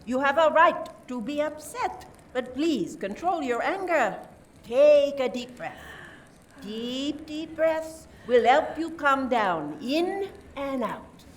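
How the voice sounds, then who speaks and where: A little far from the mic, a woman acts a suspicious French police inspector in a radio mystery. She speaks slowly in a high voice with theatrical deliberation. Her manner is polite but condescending. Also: lively intonation. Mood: soothing, firm. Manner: deliberate, theatrical, repeats words for emphasis. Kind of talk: amateur radio play acting. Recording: distant mic, faint noise, room ambience.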